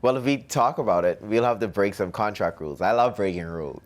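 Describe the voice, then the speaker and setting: A man's voice: lowish voice, animated, fairly quick. A young man from the Bahamas, a musician answering interview questions in a cocky, self-promoting way.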